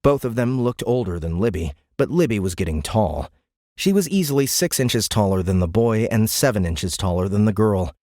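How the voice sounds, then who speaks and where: A man narrating a military science fiction audiobook in a measured, grave, dramatic way. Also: on the low side, big pitch swings, mellow, no room sound, studio quality. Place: dry recording studio.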